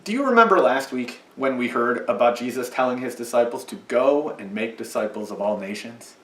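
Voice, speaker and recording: A man leads a children's sermon, his voice rising and falling in a very lively, sing-song way as he patiently explains things to kids. He sounds set back from the mic, and the church room adds a strong echo. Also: mid-range voice, fairly quick, far from the mic. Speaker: children's message leader. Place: church sanctuary.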